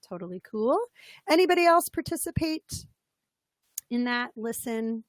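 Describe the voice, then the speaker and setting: A woman with a slightly scratchy, fairly high voice leads a webinar as a coach. She talks in an upbeat, chatty way and greets people by name. The sound is dry and close. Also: expressive, unhurried, no echo. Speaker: business coach presenting a webinar. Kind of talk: interactive webinar talk.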